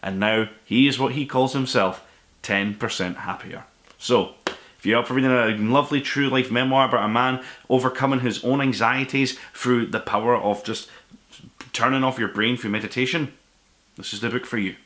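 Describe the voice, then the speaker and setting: A man's voice: medium pitch, steady tone, moderate pace, a bit bright. A man hosting a book review show, speaking in an earnest, emphatic, conversational way as he recommends what he has read. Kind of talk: enthusiastic spoken book review to camera.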